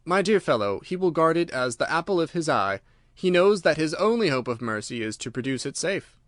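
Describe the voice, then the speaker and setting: A man voicing a cool, self-assured detective with measured, smooth authority in a storybook reading. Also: on the high side, very animated, a bit bright, no room sound, clean studio sound. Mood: amused, confident.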